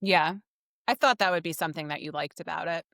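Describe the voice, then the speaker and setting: A woman's voice: sits low, fairly quick, gravelly, a bit bright, no room sound, studio quality. A woman co-hosting a podcast, explaining things in a casual, conversational book discussion. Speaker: podcast co-host.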